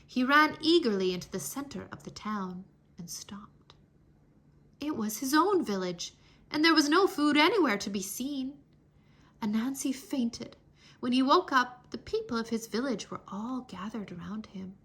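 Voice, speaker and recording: A woman narrates a children's storybook in a high, bright voice. Her pitch moves widely as she tells the tale, giving it an animated, dramatic feel suited to young listeners. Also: unhurried, breathy edge. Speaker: children's read-aloud narrator. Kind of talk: expressive storybook reading.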